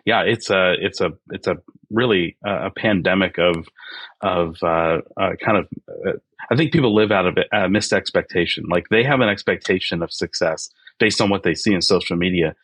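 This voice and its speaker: A man's voice: deep voice, normal speed, fairly bright tone, no room sound. A man in his forties, a podcast guest, talking earnestly with many fillers and restarts. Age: 40s. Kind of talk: reflective interview talk.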